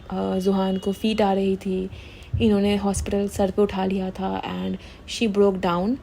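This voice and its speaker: A young woman talks through influencer gossip in a relaxed, chatty way. She mixes Hindi and English as she goes, and her voice sounds mellow and warm. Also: medium pitch, slight background noise. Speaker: gossip commentary host. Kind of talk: casual Hindi-English commentary.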